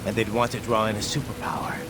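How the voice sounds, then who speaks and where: A man's voice: on the low side, little pitch movement, fast, noisy background, close to an everyday voice. An actor playing a terse male cyborg mercenary who speaks in clipped, decisive commands. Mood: determined. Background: music.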